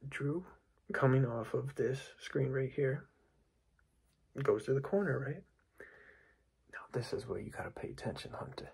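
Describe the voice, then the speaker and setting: A young man doing an ASMR basketball coach roleplay in a hushed, breathy, husky whisper, repeating himself as he addresses his team. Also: mid-range voice, a little slow, long pauses, low-quality recording. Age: young adult. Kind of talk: ASMR roleplay pep talk and play explanation. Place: small dark room indoors.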